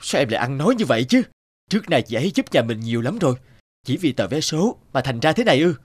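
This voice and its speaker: An actor playing a humble, gentle husband in an animated moral tale, earnest and plain-spoken. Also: male voice, medium pitch, quick pace, breathy edge, dry recording. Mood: reproachful. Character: worried, humble poor husband, gentle and conciliatory. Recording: music behind the voice.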